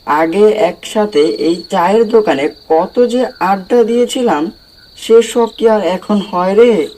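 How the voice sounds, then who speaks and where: A friendly village man played by a male actor reminisces in a fairly high, unhurried voice. He is a wistful tea-stall chatter from a horror cartoon, speaking in homely colloquial phrases, with faint noise behind him. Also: darkish tone, low-quality recording. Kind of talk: cartoon horror story character dialogue.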